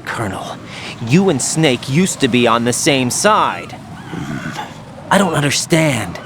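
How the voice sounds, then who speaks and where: Young male game soldier: incredulous, insistent, swinging pitch, faint background noise. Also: big pitch swings, slow pace. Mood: agitated. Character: young male soldier, idealistic, questioning, agitated. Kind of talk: dramatic video game acting.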